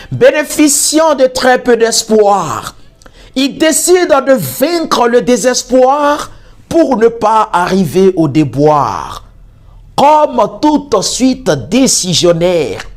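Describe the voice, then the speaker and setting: A poet reciting spoken-word verse in a solemn, declamatory way with theatrical emphasis. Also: mid-range voice. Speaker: slam poet. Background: music under the voice.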